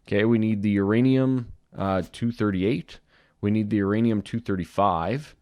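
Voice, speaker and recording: A man's voice: fairly low pitch, moderate pace, no echo. A young man with a slightly dry throat, chatting casually to viewers while he plays through a modded Minecraft series. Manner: relaxed, chatty, talks to viewers while playing. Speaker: gaming video host. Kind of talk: casual Minecraft modpack commentary.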